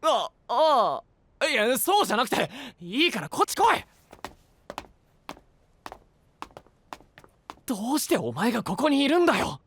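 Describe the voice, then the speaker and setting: A man playing a rough-talking, easily flustered young knight in an anime-style voice drama, loyal and often exasperated. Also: very high-pitched, very animated, fairly quick, a bit bright, a little hiss or hum, heavily acted. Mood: flustered, indignant.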